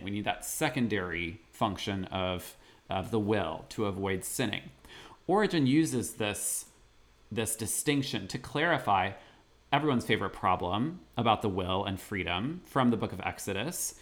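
Animated man co-hosting a scholarly podcast. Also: lowish voice. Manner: animated, jokey asides. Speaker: podcast co-host. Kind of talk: casual scholarly discussion.

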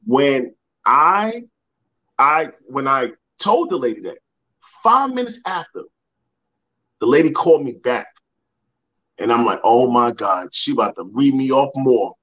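A man's voice: mid-range voice, big pitch swings, a little slow, pauses often, fairly bright tone, dull audio. A young man, a motivational speaker, telling a personal story in a podcast interview. He repeats words and acts out the people he quotes.

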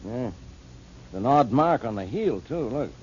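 Casting: a man as a dismissive, gruff police inspector, mid-pitched, on dull old radio audio. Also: expressive, unhurried, a little mellow, slight background noise, lightly acted. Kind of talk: 1940s radio acting. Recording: muffled old radio broadcast.

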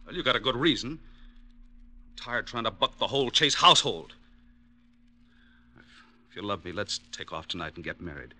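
A hard-edged hoodlum boyfriend from an old radio crime play. His American delivery is piercingly forceful and very animated in pitch. He talks tough slang with constant impatience. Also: normal speed, pauses often, dry recording, exaggerated voice. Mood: defensive, angry. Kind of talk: 1950s radio drama acting.

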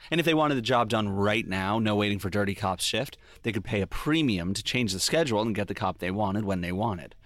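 A man's voice: lowish voice, expressive, fairly quick, few pauses, fairly bright tone, no room sound. A man playing a brooding police detective, narrating a noir audio drama in a wry, confessional way. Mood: tense, reflective. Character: brooding male police detective recalling his past mistakes. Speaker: lead character and narrator.

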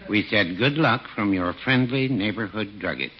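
An American actor playing a mild, folksy older small-town druggist with dry, deferential delivery in a 1940s radio comedy. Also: male voice, on the low side, normal speed, natural voice. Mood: chipper. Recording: muffled, noisy 1940s radio recording.

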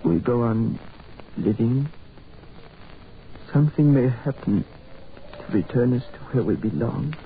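An actor playing a solemn, resigned man with halting, grave delivery in a 1940s radio drama. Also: medium pitch, natural voice. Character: solemn, resigned man stranded in prehistoric past. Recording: tinny, hissy old radio recording.

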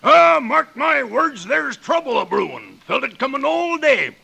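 An actor playing a grumpy, suspicious old dwarf in 1940s radio style, with a rasping voice and a crotchety folksy drawl. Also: male voice, extremely high pitch, sing-song, measured pace, sharp tone, heavily acted. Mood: grumbling, suspicious. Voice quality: gravelly, rasping.

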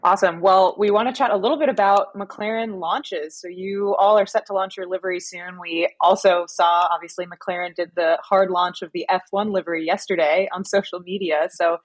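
A woman co-hosting an interview, asking questions in a relaxed, conversational way. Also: fairly low pitch, moderate pace, few pauses, rough recording. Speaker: interview co-host.

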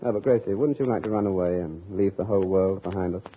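An American actor playing a bashful, odd, lovesick suitor in a 1940s radio comedy. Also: male voice, sits low, fast, a faint crowd, natural voice. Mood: silly, lovesick. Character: bashful, odd, lovesick suitor full of silly non sequiturs. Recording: noisy old radio, phone-like, audience behind.

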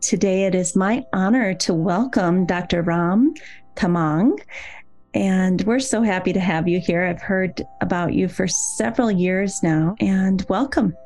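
A woman's voice: mid-range voice, measured pace, darkish tone, soft background music. A woman hosts a podcast in a mid-range voice. She delivers introductions and puts questions to her guest. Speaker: podcast host.

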